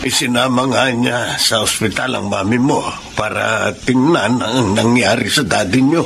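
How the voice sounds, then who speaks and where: Creaky-voiced kindly Filipino grandfather. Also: mid-range voice, measured pace, a bit bright. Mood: sober, concerned. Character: grave, kindly old grandfather. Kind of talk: Filipino radio drama acting. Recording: faint background noise.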